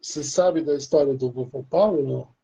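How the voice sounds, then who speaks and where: A man's voice: medium pitch, moderate pace, gravelly, dark tone, slightly rough, muffled recording. A Brazilian man with a Brazilian Portuguese accent, chatting casually in a rambling way with many restarts. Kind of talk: casual chat.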